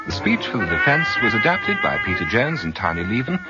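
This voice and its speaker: Male radio announcer for end credits, low and very animated, over music on a vintage recording. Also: fairly quick, muffled recording. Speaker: closing announcer.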